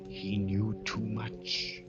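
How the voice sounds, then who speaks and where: An actor playing a guilty, frightened man confessing in an old-time radio drama, swinging from hushed to panicked. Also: lowish voice, flat delivery, extremely slow, lo-fi sound, loud music behind the voice. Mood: haunted, grim. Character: guilty, frightened wife-poisoning man narrating his confession.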